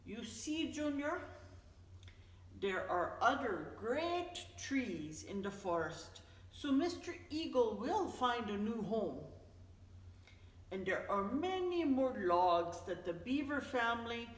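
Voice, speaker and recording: A woman's voice: on the high side, pitched above the performer's own voice. Woman reading as a patient storybook father bear, echoey. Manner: patient, explanatory, emphatic storybook delivery. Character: gentle, wise father bear explaining to his young son. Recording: echoey, reverberant room, distant mic.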